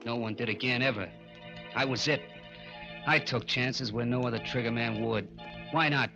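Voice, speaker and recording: A man's voice: mid-range voice, normal speed, lo-fi sound, natural voice. An American actor playing a tough, brooding gangster trigger man telling his story in an old-time radio crime drama. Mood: brooding, cocky. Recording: old radio broadcast with music behind.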